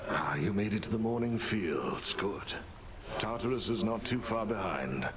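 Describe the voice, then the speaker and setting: A man's voice: lowish voice, expressive, unhurried, noisy background. A man playing a courteous, gloomy mortal warrior in a video game, wry and understated.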